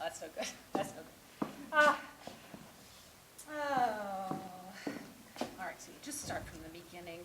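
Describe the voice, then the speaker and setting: An actress playing an anxious, clumsy, lovelorn woman in a theatrical monologue, halting and scolding herself with dramatic swings. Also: high voice. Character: anxious, clumsy, lovelorn mistress rehearsing a dreaded phone call. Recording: echoey room with faint noise.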